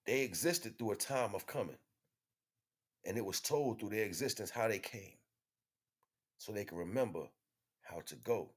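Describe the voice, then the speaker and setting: A man with an American accent and a notably low-pitched voice hosts a casual livestream monologue on spiritual matters. His delivery is conversational and slangy, with swearing mixed in freely. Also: expressive, moderate pace, long pauses, slightly rough. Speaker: livestream host.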